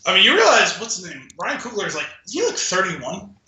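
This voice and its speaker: A man's voice: high voice, wildly swooping pitch, fairly quick, noisy background. A man chatting casually as a podcast co-host about film, in a rambling, tentative way. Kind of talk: casual film discussion chat. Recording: roomy, echoing room sound.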